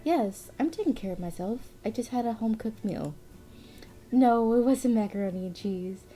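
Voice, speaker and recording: A woman's voice: a little high, animated, moderate pace, warm tone, soft background music, voice pushed up. A woman voicing a young adult female novelist on a phone call, friendly and a little defensive, a bit sniffly. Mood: reassuring.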